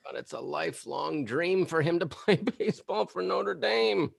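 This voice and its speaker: A man's voice: fairly high pitch, moderate pace, gravelly, husky, no room sound, studio quality, exaggerated voice. An actor playing a petty, self-pitying suburban father, whiny and sarcastic, in a comedy table read. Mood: aggrieved.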